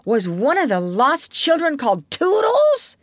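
A woman voicing excitable, wide-eyed little boys in a storybook, eager and exclaiming. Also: sits high, slow pace, dry recording, pitched above the performer's own voice, heavily acted. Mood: excited.

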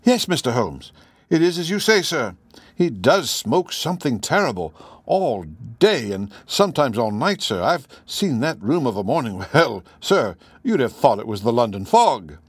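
A man's voice: mid-range voice, big pitch swings, moderate pace, husky, no echo. Man putting on a chatty, deferential housekeeper woman. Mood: gossipy. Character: chatty, deferential working-class female housekeeper, plain-spoken. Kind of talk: audiobook character voice.

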